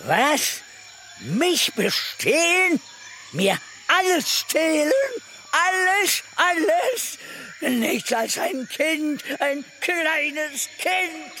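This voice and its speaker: Slow, bitter muttering man. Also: very high voice, sing-song, pushed and bright, a little hiss or hum, heavily acted. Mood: outraged. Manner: muttering, obsessively repeating himself, cackling. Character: bitter, resentful, scheming man muttering to himself. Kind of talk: radio drama monologue.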